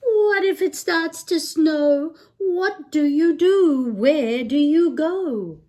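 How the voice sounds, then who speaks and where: A woman reading a picture book aloud to children, expressive, with sing-song questions. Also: high-pitched, takes it slowly. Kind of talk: children's read-aloud narration.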